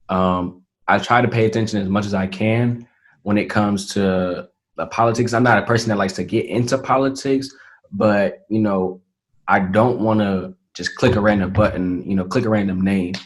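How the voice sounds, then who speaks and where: An eighteen-year-old man speaks as an interview guest. His voice sits a little low for his age, and he answers in an earnest, measured way, often pausing on "um" and "you know.". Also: fairly even intonation. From Atlanta, Georgia, USA. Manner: earnest, measured, frequent fillers. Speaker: interview guest, young entrepreneur. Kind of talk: casual interview talk.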